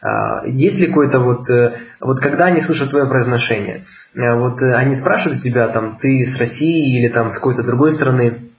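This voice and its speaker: A man's voice: mid-range voice, a little fast. A man asking long, rambling interview questions in a casual conversation, full of fillers. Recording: echoey, slightly dull room sound.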